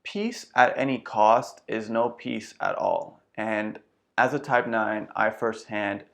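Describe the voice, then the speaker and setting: A man's voice: on the low side, very animated, takes it slowly, vocal fry, a bit bright. A young man from Iran with an Iranian accent, talking earnestly and reflectively to camera about self-development in a casual video. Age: young adult. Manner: earnest, reflective, gestures with hands while talking. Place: bright room indoors with houseplant.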